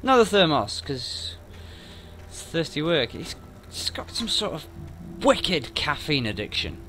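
A man commentates casually on a video game. His bright voice swoops up and down in an animated, jokey way as he chats to the characters. Faint music sits behind him. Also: fairly high pitch, measured pace. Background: game sounds and music.

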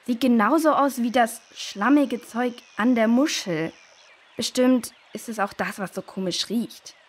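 A woman's voice: fairly high pitch, measured pace, slightly rough. A woman playing a curious, helpful young girl adventurer in a children's audio drama.

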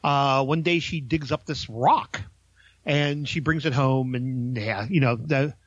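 A man's voice: medium pitch, measured pace, husky, no room sound, muffled recording. A man giving a casual, informal film review, talking in a hesitant, rambling way.

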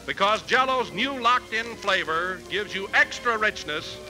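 A man's voice: high voice, animated, moderate pace, bright, forceful tone, poor audio. A man announcing on 1940s radio with enthusiastic sales patter. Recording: vintage radio broadcast, music and audience behind.

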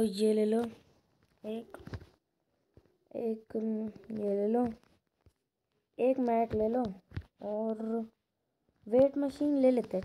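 A woman's voice: on the high side, dark tone. A woman giving casual, chatty gameplay commentary, addressing viewers informally like friends. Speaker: gaming video creator. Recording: very noisy phone recording with clicks.

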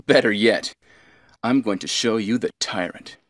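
An actor playing a cold, scheming villainous commander in a video game, deliberate, condescending and menacing in stilted cutscene delivery. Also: male voice, on the low side, fairly bright tone, no echo, clean studio sound. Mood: smug.

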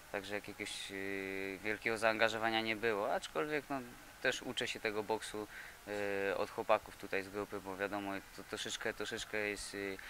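A young male boxer in his twenties from Poland, answering interview questions haltingly with many fillers and repeated words, recorded on a handheld mic in an indoor gym. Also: on the low side, fairly bright tone, slight background noise. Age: 20s. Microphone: handheld reporter mic.

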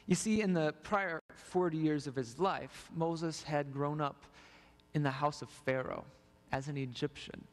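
A man's voice: on the high side, unhurried. A young man preaching a sermon, telling the story while walking the stage of a large church sanctuary. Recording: long reverb of a church hall.

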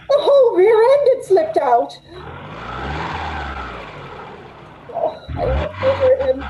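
High, frightened young woman, acted by a woman. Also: noisy background, exaggerated voice. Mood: panicked narrating. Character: frightened, pleading young working woman held captive. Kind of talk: old-time radio suspense acting, first-person narration. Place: indoors at home on a video call.

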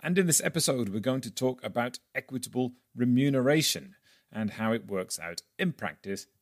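A man's voice: mid-range voice, expressive, normal speed. A man hosting a podcast with a wry, playful manner, moving between scripted introduction and chatty interviewing. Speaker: podcast host and editor.

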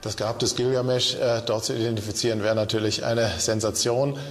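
A man's voice: fairly low pitch, flat delivery, fairly quick, soft background music. A man giving an unscripted explanation as an interviewed expert. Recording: long hall reverberation.

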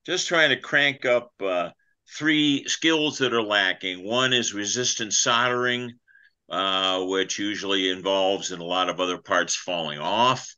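An older man talks over a webcam call in a low yet bright, forceful voice. He rambles through his plans, trailing off and poking fun at himself. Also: measured pace, clear, resonant tone. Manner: rambling, self-deprecating, trailing off. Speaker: clinic presenter. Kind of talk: casual video call chat. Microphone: video call webcam.

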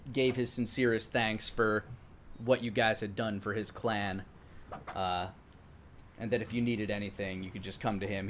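A man in his thirties narrating a tabletop game as dungeon master, halting and pausing as he goes. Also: lowish voice. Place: indoor room, online game stream. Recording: clear background noise on mic.

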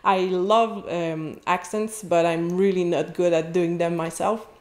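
Casting: woman, 30s, Quebec French accent, lively chatty teacher, earbud-mic video call. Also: lowish voice, measured pace. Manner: animated, chatty, frequent restarts and laughs. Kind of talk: casual interview chat. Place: indoor home office. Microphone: wired earphones with mic on a video call.

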